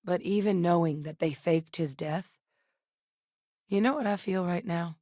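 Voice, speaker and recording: An actress plays a guarded, principled woman scientist in a sci-fi game. Her voice sits low, recorded very dry and close. She speaks in a measured, earnest manner with a wry edge. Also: no room sound, close to an everyday voice. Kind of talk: video game character dialogue.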